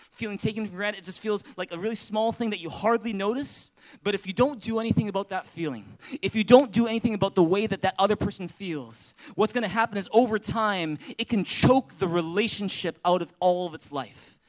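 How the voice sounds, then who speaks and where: A man from Canada, a pastor, preaching an earnest, conversational sermon in a church and calling on the congregation to answer. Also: sits high. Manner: earnest, conversational preaching with audience call-and-response. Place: church sanctuary.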